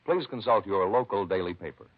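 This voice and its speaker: A man's voice: lowish voice, lively intonation, a little fast, heavy background noise. A man working as a radio announcer in a punchy, solemn 1950s style, declaiming dramatic introductions and sponsor copy. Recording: muffled old radio broadcast.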